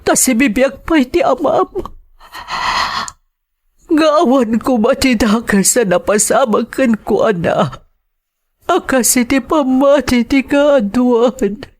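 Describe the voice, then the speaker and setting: A woman's voice: high voice, slow, darkish tone. A woman with an Ilocano accent playing an anguished, pleading wife searching for her missing husband in a radio drama. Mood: aching, relieved.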